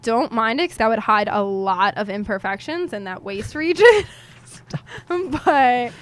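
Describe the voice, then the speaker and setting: A young woman with a New York accent, co-hosting a casual chat and telling stories in an animated way, putting on voices. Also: on the high side, a little slow, dry recording. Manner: animated storyteller, acts out voices. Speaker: co-host.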